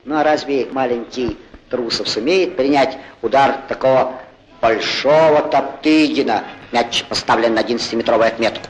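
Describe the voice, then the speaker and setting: A man playing an excitable, good-humoured football commentator in a 1940s cartoon, chatty and theatrical, on a noisy old film soundtrack. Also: medium pitch, moderate pace, close to an everyday voice. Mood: concerned, wry. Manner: chatty, theatrical, exclaiming, correcting himself. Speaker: sports commentator.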